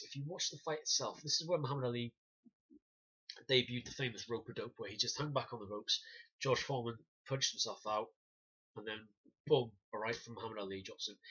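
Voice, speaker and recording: A man's voice: mid-range voice, husky. Man, dry wry webcam review. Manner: animated, gestures and demonstrates punches; wry, sarcastic asides. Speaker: self-defence instructor reviewing a documentary. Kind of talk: casual to-camera review. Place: small room indoors, banner behind. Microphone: webcam at desk distance. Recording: dull lo-fi webcam audio.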